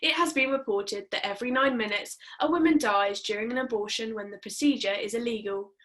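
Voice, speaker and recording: An actress in a table read of a play, voicing an impassive official computer intelligence in a formal, detached, procedural way. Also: female voice, on the high side, brisk, fairly bright tone, close to an everyday voice. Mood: detached, informative.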